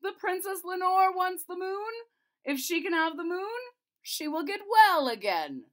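A woman voicing a demanding old king in a storybook, loud and commanding, pitched high above her own voice. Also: lively intonation, a little slow, exaggerated voice.

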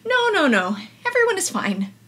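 A woman playing a flustered, protective aunt in an audio drama, speaking in an urgent, halting, reassuring way. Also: very high voice, wildly swooping pitch, fairly bright tone, exaggerated voice. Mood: reassuring, firm. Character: flustered, protective aunt hurriedly soothing her nephews.

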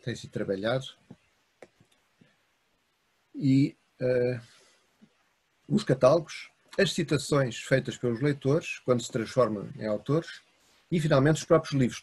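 A man with a European Portuguese accent giving a university lecture over a video call. He reads from notes in a measured way and lists items in short phrases. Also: mid-range voice, a little mellow, slightly rough. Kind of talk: academic lecture read from notes. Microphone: video call webcam.

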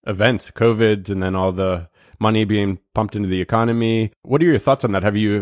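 A man's voice: low voice, no echo, clean studio sound. A man hosting a podcast, asking questions in a casual, conversational interview style.

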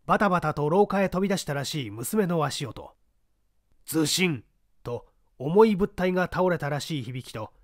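A man voicing a composed middle-aged gentleman who sets a young applicant a test, speaking in a measured, lecturing way. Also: fairly high pitch, animated, no echo, studio quality, natural voice. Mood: narrating. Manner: measured, lecturing, poses pointed questions. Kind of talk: audiobook reading of a detective story.

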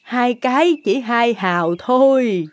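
Slow, scheming stepmother. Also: a little high, no echo. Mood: sly, wheedling. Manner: sly, wheedling. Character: scheming, murderous stepmother posing as a cake seller. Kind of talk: animated fairy-tale dubbing. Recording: music under the voice.

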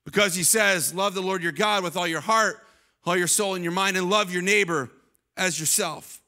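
Bright, high-voiced animated man preaching. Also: normal speed. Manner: animated, gestures while preaching, many restarts. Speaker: pastor. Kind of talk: sermon. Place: large church stage, indoors. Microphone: handheld microphone.

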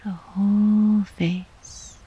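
A woman leads a guided meditation for sleep in a very breathy near-whisper. Her delivery is slow, gentle and soothing, with long pauses between phrases. The sound is a little dull and muffled. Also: mid-range voice, little pitch movement. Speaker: yoga nidra meditation guide.

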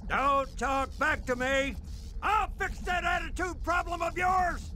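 Furious water spirit, very high-pitched, bullying, ranting, game cutscene. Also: male voice, takes it slowly, shouting, background noise. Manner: ranting, scolding. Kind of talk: video game cutscene acting.

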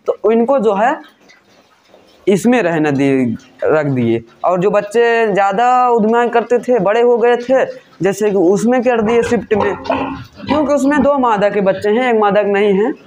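A man's voice: high-pitched, a little mellow, slight background noise. A pig farmer giving a casual, rambling walkthrough of his farm shed, talking to viewers as friends and recorded on a handheld phone. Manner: rambling, many fillers, addresses viewers as friends.

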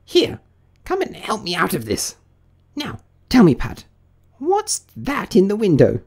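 A man's voice: high voice, huge pitch swings, unhurried, vocal fry, slightly rough, pitched above the performer's own voice, heavily acted. A man voicing a fussy, bad-tempered rabbit master in a children's storybook, scolding and giving imperious orders. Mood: indignant, scolding.

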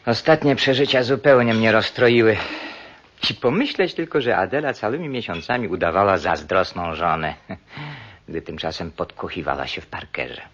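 A suave, cold-blooded schemer from a radio play. His voice sits low and has a husky grain, and he speaks with smug condescension. The recording is dull and muffled. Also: lively intonation, moderate pace, fairly bright tone. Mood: smug, feigned grief. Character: cold, self-pitying scheming murderer, clinic-owning husband. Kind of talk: radio drama acting.

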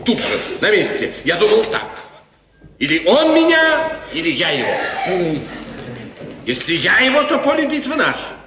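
A scheming court chamberlain in a 1950s radio play. His highish male voice swings widely as he fawns and insinuates. It sounds distant, in an echoing hall. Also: wildly swooping pitch, a little slow, long pauses, noisy background, exaggerated voice. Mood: smooth, self-justifying. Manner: theatrical, insinuating.

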